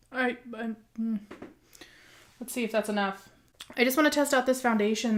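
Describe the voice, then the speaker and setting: A woman beauty vlogger, hoarse from a cold, chatting casually and rambling with many ums while she does her makeup at home. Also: medium pitch, fairly bright tone. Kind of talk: casual makeup tutorial chat.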